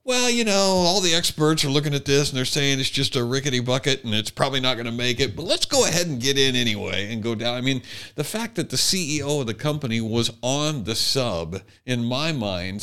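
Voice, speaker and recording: Guy just chatting on a podcast, average pitch, kinda wry, feels his way through sentences. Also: lively intonation, a little fast, a bit bright, clear, resonant tone. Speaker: podcast co-host. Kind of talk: casual conversational chat.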